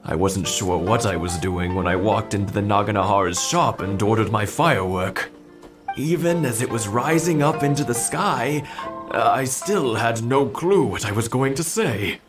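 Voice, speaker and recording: An actor playing a gentle elderly husband in a video game, thoughtful, nostalgic and a little hesitant. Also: male voice, fairly low pitch, expressive, normal speed, lightly acted. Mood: fond, nostalgic. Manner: hesitant, reflective. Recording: music under the dialogue.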